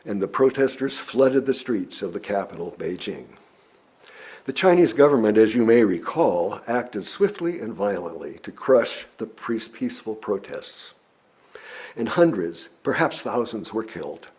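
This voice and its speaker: A man reads a written sermon aloud in a measured, deliberate, oratorical way, with a husky voice. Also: fairly low pitch, lively intonation, a little mellow. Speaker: lay preacher reading a written sermon. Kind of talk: sermon read from a script. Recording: dull, lo-fi recording.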